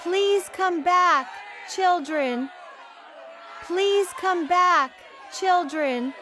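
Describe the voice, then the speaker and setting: Woman acting a very high, wailing distraught parent. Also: bright, forceful tone, a faint crowd, slight background noise, full character voice. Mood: despairing pleading. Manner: pleading, drawn-out cries. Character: distraught townspeople crying out for their lost children.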